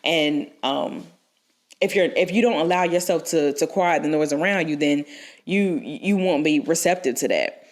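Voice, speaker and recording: Woman, low voice, stuffy from a cold, rambling casual advice, podcast co-host. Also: normal speed. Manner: rambling, frequent restarts and fillers.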